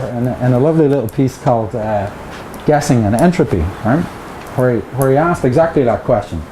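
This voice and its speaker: A middle-aged man with an Irish accent giving a chatty academic seminar talk, with joking asides to the audience. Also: mid-range voice. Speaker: lecturer. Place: seminar room with projector. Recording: echoey room with background noise.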